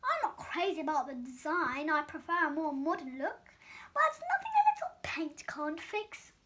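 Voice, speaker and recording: A woman voicing an excitable, indignant young male talking doughnut from a children's storybook, in a dramatic, exclamatory manner. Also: high voice, normal speed, full character voice. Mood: pleased, chatty.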